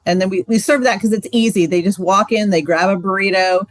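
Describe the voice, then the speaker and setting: A woman speaking in a dry, close-sounding space at a middle pitch. She talks casually and chattily, peppering her answers with "like" and "um". She sounds like a ministry co-leader sharing practical details. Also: brisk, no room sound. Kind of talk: training session talk.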